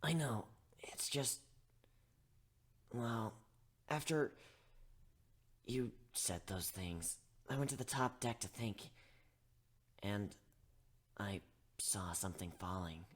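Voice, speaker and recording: A man voicing a young female griffin, earnest and in love, with a soft, halting manner. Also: lowish voice, expressive. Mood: hesitant.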